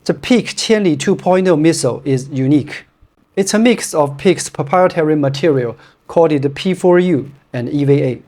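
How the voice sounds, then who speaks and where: A man's voice: a little high, normal speed. Chinese-accented man reviewing running shoes. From China. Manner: plain, straightforward, steady delivery. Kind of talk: scripted product review.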